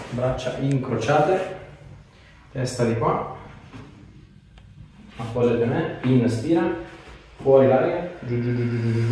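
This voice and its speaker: A man's voice: mid-range voice, mellow. A man in his thirties, a chiropractor giving short hands-on instructions and chatting casually with a patient. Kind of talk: calm hands-on treatment instructions with casual chat. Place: small tiled treatment room, indoors. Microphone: small wireless clip-on mic on chest. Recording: echoey room, distant, some noise.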